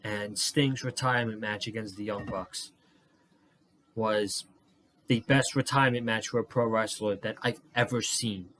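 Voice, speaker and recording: A young man reviewing a wrestling show on his channel, losing his voice and worn out, talking excitedly with a lot of swearing and rambling. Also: fairly low pitch, measured pace, slight background noise. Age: young adult. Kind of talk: casual solo review vlog.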